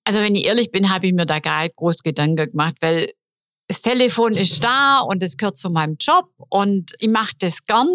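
A woman's voice: medium pitch, fairly quick, a bit bright, no room sound, clean studio sound. A woman in her sixties speaking informally as a podcast guest, in a colloquial, chatty way. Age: 60s. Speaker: podcast guest, office administrator. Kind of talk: casual interview conversation.

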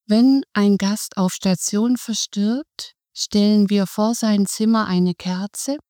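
A middle-aged woman speaks as a guest in a podcast interview, explaining things calmly and in measured steps. Her voice is mellow and warm. The recording is dry and close. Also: mid-range voice, dry recording. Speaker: hospice volunteer, guest.

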